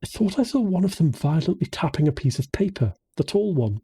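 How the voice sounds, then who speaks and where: An anxious, socially awkward young woman as a male narrator puts her on in a story. The lines come out hesitant and nervous, lifted in pitch with a husky edge. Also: big pitch swings, normal speed, dark tone, dry recording, full character voice.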